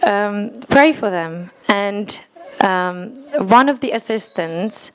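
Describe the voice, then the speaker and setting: A woman's voice: mid-range voice, lively intonation, slow pace, a bit bright, low-quality recording. Earnest Czech-accented woman. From Czech Republic. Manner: earnest, slightly broken English, laughs at her own slips. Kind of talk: speaking to a church congregation.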